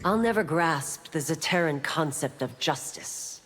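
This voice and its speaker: An actress playing a stern, dutiful imperial law officer, formal and firm, in video game dialogue. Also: female voice, low voice, lively intonation, a little slow. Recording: noisy game arena background.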